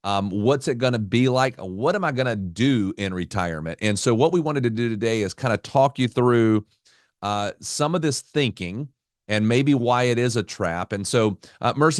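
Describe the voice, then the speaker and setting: A man hosting a finance podcast, welcoming listeners and talking in a relaxed way with some fillers. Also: mid-range voice, moderate pace, no echo, clean studio sound. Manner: conversational, fillers. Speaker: podcast host.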